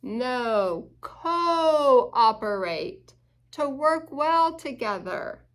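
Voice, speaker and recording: A woman voicing earnest, polite storybook otters, emphatic and expressive. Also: high voice, very slow, full character voice. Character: earnest, polite otters giving advice on good manners.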